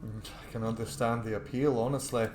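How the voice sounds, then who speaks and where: A man's voice: medium pitch, steady tone, normal speed, music in the background. Casual male gamer in an echoey room. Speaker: gamer doing a roleplay playthrough. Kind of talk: casual game commentary, reading character lines aloud. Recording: echoey room with faint noise.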